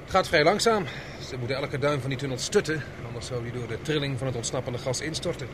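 Measured young expedition man, noisy radio drama recording acted by a man. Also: medium pitch, lightly acted. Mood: calm, explaining. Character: capable young expedition man, calm, teasing fiancé.

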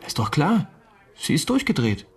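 A man's voice: a little high, wildly swooping pitch, moderate pace, slightly rough, heavily acted. An actor playing a smug, argumentative young man in a radio drama, confident, insistent and matter-of-fact. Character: cocksure young know-it-all, argumentative, smug.

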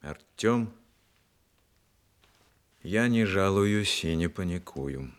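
An aging, proud Communist officer near the end of his life, in a very low voice. He declaims gravely and earnestly, in measured phrases, in the manner of Soviet radio theatre. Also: animated, full character voice. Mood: solemn, earnest. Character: dying old war veteran, principled, proud Communist officer. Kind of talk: Soviet radio drama acting.

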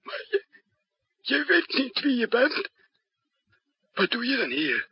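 An actor playing a bewildered traitor in hiding in a 1960s radio drama, husky and stammering. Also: male voice, very high-pitched, wildly swooping pitch, no room sound, full character voice. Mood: shocked, faltering. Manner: halting, stammering, slow. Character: startled, bewildered tall male traitor in hiding. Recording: dull 1960s radio recording.

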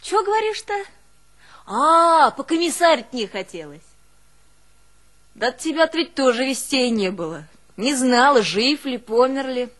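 A devoted, long-suffering village woman speaks. Her pace is very slow, and her delivery is plaintive and folksy, like a storyteller in a one-woman stage drama. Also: high voice, very animated, a bit bright, heavily acted. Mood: agitated, reminiscing. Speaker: actress in a one-woman show. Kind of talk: theatrical monologue with drawn-out folk singing.

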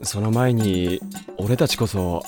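An actor playing a timid, anxious teenage athlete in an anime audio drama, hesitant and nervous. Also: male voice, lowish voice, slow pace, darkish tone, soft background music.

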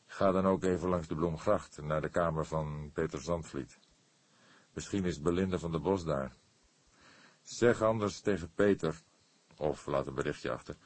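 A male narrator plays a grumpy older police detective in an audiobook. The voice is deep and rough-edged, recorded very dry. He speaks gruffly and sternly, with weary authority. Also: no room sound. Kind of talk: audiobook character dialogue.

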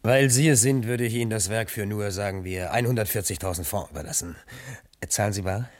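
A man's voice: fairly low pitch, a little fast, dry recording, natural voice. An actor playing a bitter, middle-aged male art teacher posing as a painter in a 1980s East German radio crime drama. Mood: haughty.

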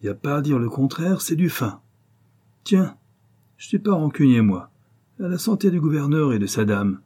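Man doing a loud, coarse convict bandit, crude and mocking, a higher, brash voice pushed well above a deep, husky base, in a storybook reading. Slangy and jeering throughout, with a rough, dark tone. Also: big pitch swings, normal speed.

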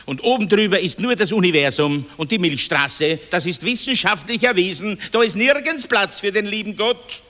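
A man's voice: sits high, normal speed, few pauses, pushed and bright, in a large hall. An actor playing a hot-tempered, scornful socialist father with a Viennese accent, declaiming in old radio drama style. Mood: indignant, preaching. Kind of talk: 1960s German-language radio drama acting.

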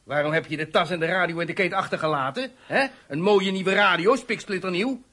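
An actor playing a stern, impatient, sceptical police interrogator in a Dutch radio drama, with a husky voice. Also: male voice, high-pitched, brisk, sharp tone, exaggerated voice. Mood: sceptical, probing.

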